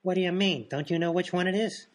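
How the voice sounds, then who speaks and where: A man's voice: high-pitched, fast, lightly acted. A man playing a dim-witted, food-obsessed sidekick in a radio comedy, with folksy, ungrammatical, matter-of-fact speech. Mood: dim, single-minded.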